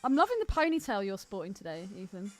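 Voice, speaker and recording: A woman's voice: mid-range voice, sing-song, fast talker, soft background music. A woman co-hosting a podcast with teasing, sweary, casual banter. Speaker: podcast co-host.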